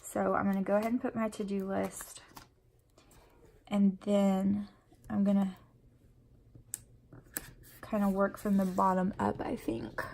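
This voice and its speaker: A woman's voice: medium pitch, little pitch movement, a little slow, gravelly, pauses often, darkish tone, a little hiss or hum. A sniffly woman with a runny nose, chatting in a rambling way while she decorates her planner at home. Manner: rambling and chatty, full of fillers. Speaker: planner vlogger and mom.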